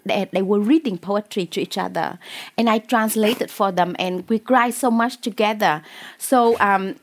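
A woman with a Vietnamese accent speaks in a conversational interview as an author. She talks in a hesitant way, with frequent fillers and restarts, and her pitch sits in a middle range. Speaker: author and poet.

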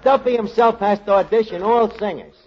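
An actor playing a wisecracking, scheming tavern manager, in a 1940s radio comedy, talking brash and slangy. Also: male voice, high voice, a little fast, close to an everyday voice. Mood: sly. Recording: lo-fi old radio broadcast.

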